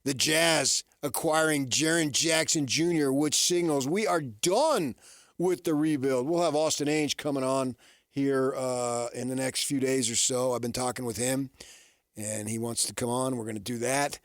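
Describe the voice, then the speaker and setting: A man hosts sports talk radio in a close, dry studio sound. His pitch sits in an ordinary middle range. He talks casually and conversationally, with a folksy touch and plenty of rhetorical questions. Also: moderate pace, no echo.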